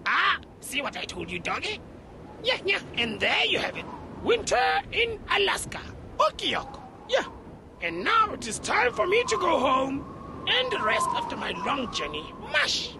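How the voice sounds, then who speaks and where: High gravelly furry monster, eager and chatty, swooping wildly, slow and formal, noisy background. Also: male voice, heavily acted. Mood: cheerful. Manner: excitable, formal phrasing, exclaims loudly.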